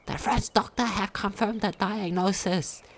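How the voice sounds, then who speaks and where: A woman's voice: mid-range voice, fairly even intonation, unhurried, a little hiss or hum. A woman reading a game diary aloud as a video commentator, sometimes stumbling over her words.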